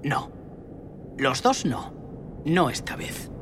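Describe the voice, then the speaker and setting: A man's voice: mid-range voice, sing-song, slow, background noise. A man playing a hurt, sarcastic monster sidekick in a children's audio story, indignant and repeating himself. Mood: wounded sarcasm.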